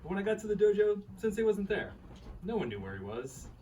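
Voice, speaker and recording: A man's voice: sits high, fairly quick. A man playing a sheepish, self-doubting husband telling his story in a live radio play reading. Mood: defensive, rueful. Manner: conversational, rueful, animated storytelling. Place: live reading at a bookshop event. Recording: distant mic, faint room noise.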